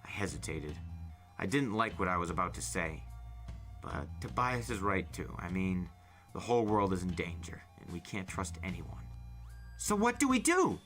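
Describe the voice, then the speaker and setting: A man reading a first-person novel aloud as a storyteller, in animated audiobook-style narration. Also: low voice, normal speed, faint music behind. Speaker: podcast host reading a novel.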